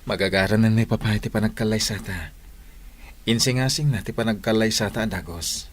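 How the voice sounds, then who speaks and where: An actor playing an earnest, grateful grown son about to marry, in a radio drama. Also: male voice, fairly low pitch, a little fast, slight background noise, lightly acted. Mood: earnest, tender. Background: faint music.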